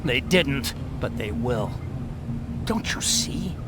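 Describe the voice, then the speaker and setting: An actor playing an urgent, excitable resistance scientist in a video game, explaining things emphatically. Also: male voice, medium pitch, takes it slowly, background noise. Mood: excited explaining. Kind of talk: dramatic video game acting.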